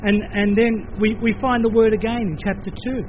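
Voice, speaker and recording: An older man with an Australian accent, preaching a sermon in a lively conversational way, with playful asides. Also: sits high, moderate pace, dull audio, slight background noise. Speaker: pastor.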